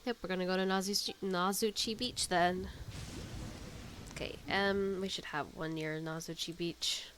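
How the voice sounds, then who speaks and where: A woman's voice: fairly low pitch, steady tone, normal speed, a bit bright, slight background noise. A woman giving casual commentary as she plays a video game and reacts to its story. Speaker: gamer reacting to a story quest.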